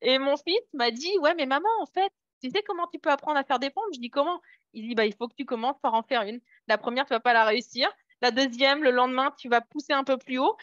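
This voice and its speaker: French woman coaching her sales team, kinda high voice, lots of euhs, dry muffled sound. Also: fast talker, a bit bright, dry recording. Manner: hesitant, many fillers and restarts, encouraging. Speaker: network marketing team trainer. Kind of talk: casual motivational training talk.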